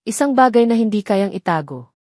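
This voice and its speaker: A woman's voice: medium pitch, animated, a little fast, a little mellow, no echo, clean studio sound. A woman storyteller reading scripted, dramatic narration for an audio story.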